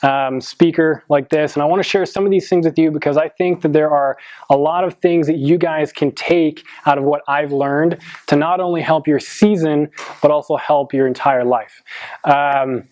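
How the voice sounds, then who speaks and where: A man in his twenties giving a motivational talk to a high school football team, earnest, with many ums and restarts. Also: fairly even intonation, normal speed, darkish tone, no echo. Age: 20s. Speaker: motivational speaker.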